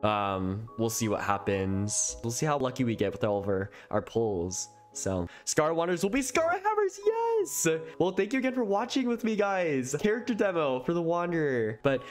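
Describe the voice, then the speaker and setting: A man's voice: a little high, moderate pace, few pauses. A man in his thirties giving casual, exclamatory live reactions as a streamer, on a close desk mic. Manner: excitable, exclamatory. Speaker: streamer reacting to a game trailer. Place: small room at home, indoors. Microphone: close desk microphone. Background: faint game trailer music.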